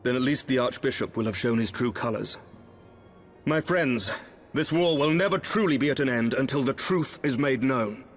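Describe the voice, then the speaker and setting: A man's voice: mid-range voice, lively intonation, moderate pace, faint music behind, close to an everyday voice. A man playing a noble, determined knight commander in a video game, speaking with formal, solemn oratory. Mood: solemn, urgent. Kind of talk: dramatic video game acting.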